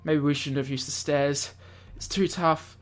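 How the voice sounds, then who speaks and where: A man's voice: on the high side, fairly even intonation, measured pace. A man hosting a let's play, reading visual novel text dramatically and breaking off for playful asides. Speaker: gaming video host reading aloud.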